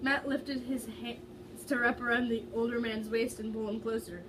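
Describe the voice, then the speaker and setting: A woman's voice: on the high side, fairly even intonation, moderate pace. Young woman reading aloud, distant noisy recording. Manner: giggly, informal, stumbles over words. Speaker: reader of fan fiction. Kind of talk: dramatic amateur story reading. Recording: distant mic with loud background noise.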